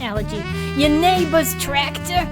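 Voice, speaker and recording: A woman's voice: high-pitched, expressive, a little slow. A woman playing a brash, punchy injury lawyer in a parody fantasy commercial. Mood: brash, salesy. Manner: punchy, salesy. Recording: loud music under the voice.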